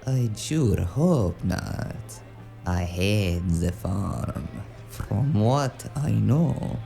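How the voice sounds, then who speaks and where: A man playing a suave, menacing crime boss in a noir audio drama, speaking formally and deliberately with silky threat. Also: fairly low pitch, vocal fry, mellow, full character voice.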